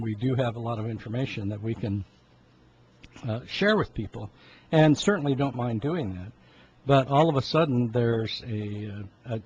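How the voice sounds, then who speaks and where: A man in his seventies giving an informal health talk in a halting, rambling way, often clearing his throat. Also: medium pitch, darkish tone. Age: 70s. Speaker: health talk host, medical practitioner. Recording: dull lo-fi sound with background noise.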